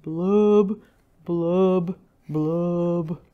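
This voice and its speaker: A woman's voice: lowish voice, steady tone, drawn-out words, dull audio, pitched below the performer's own voice. A woman voicing a glum, mopey male fish from a children's picture book, in a sing-song rhymed lament. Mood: glum, resigned.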